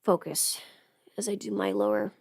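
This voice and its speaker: A woman's voice: medium pitch, big pitch swings, moderate pace, gravelly, a little mellow, dry recording, clean studio sound. A young adult woman chatting casually to livestream viewers in a rambling, informal way, often interrupting herself. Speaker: livestreamer and audio performer. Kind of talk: casual livestream chat while putting on makeup.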